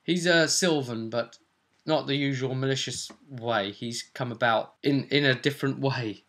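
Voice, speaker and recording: A man's voice: mid-range voice, normal speed, a bit bright. A man giving a casual, rambling recap of a tabletop role-playing session as the game master.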